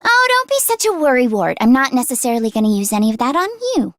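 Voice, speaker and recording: A woman voicing an excitable, earnest teenage girl inventor who gets easily flustered, in an expressive anime-style video game performance. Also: a little high, fast, dry recording. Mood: dry, grumbling. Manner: expressive, swinging from deadpan grumbling to frantic outbursts.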